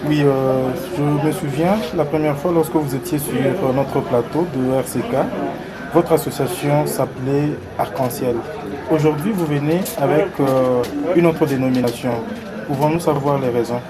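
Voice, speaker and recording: Male journalist, measured question, hesitant, loud crowd behind. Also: medium pitch, steady tone. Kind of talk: interview question.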